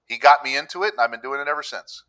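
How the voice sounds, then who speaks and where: Man, middle-aged, from Pennsylvania, bright tone, easygoing storyteller, podcast guest. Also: medium pitch, racing, rough recording. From Pennsylvania, USA. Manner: easygoing storytelling, acts out quoted voices. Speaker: public adjuster, podcast guest. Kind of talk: conversational interview. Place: room with a countryside backdrop.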